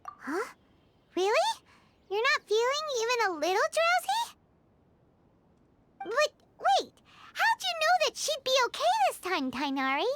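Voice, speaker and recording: A woman voicing a tiny, childlike, excitable fairy companion in a video game, squeaky and blunt in her exclamations. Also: measured pace, pauses often, heavy background noise, heavily acted. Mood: curious, surprised. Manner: exclamatory, questioning, refers to self in third person.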